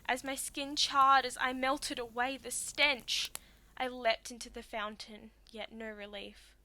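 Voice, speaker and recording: A woman's voice: a little high, little pitch movement, measured pace. A woman performing a theatrical monologue as a tragic young Greek princess, wronged and anguished, with impassioned, declamatory delivery. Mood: agonised, accusing. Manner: impassioned, declamatory, swinging from hushed to outcries.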